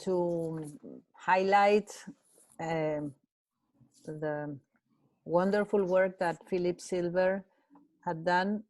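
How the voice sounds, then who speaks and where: A woman with an Argentine accent, reading a translated poem aloud in a measured, deliberate way. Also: lowish voice, steady tone, a little mellow, no room sound. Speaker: translation prize committee member.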